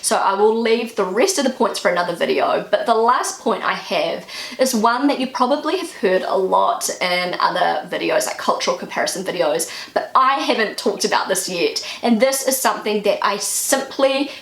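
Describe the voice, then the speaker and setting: A woman's voice: mid-range voice, expressive, moderate pace, gravelly. A woman with a strong New Zealand accent, chatting earnestly to camera in a casual vlog, her voice jumping up for emphasis. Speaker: vlogger. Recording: echoey room.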